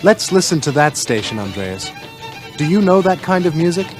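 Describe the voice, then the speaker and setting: Animated American road-trip companion. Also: male voice, fairly high pitch, moderate pace, over music. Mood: friendly. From Northeast United States. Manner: explanatory, upbeat. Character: friendly American male driver explaining local expressions. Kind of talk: scripted English-learning radio drama.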